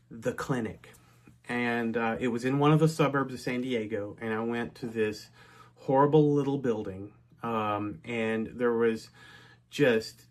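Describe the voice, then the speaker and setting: Middle-aged man, from North Texas, casual storyteller, chatty, mid-pitched voice. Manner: chatty, with frequent restarts and asides.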